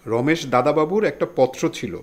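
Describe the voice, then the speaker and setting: A man's voice: on the high side, lively intonation, brisk, close to an everyday voice. A man playing a polite, deferential letter carrier in an audio drama. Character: deferential letter messenger, plain working man.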